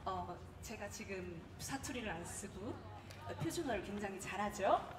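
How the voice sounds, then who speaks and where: Sex: woman. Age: 30s. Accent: Jeolla. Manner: witty, animated storyteller. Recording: echoey hall, mic far away, faint noise. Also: medium pitch, normal speed. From Gwangju, South Korea. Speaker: contest participant. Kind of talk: humorous prepared speech. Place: event hall.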